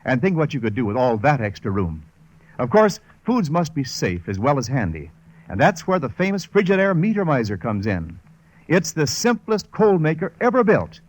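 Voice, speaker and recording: Male vintage radio announcer: slightly high, swooping intonation, polished emphatic sponsor read. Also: big pitch swings, moderate pace, poor audio.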